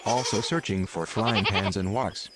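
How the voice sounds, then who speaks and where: A man playing a literal, unflappable station computer in a sci-fi audio drama, formal, clipped and deadpan. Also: lowish voice, unhurried, very noisy, lightly acted. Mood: deadpan, factual. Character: literal, unflappable male station computer, ancient library archive.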